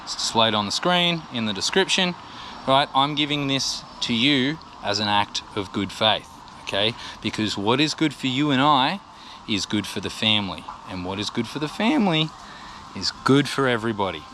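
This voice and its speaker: Bright-voiced Australian man, chatty explainer. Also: mid-range voice, expressive, moderate pace, a little hiss or hum. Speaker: vlogger, musician. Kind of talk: casual explainer to camera.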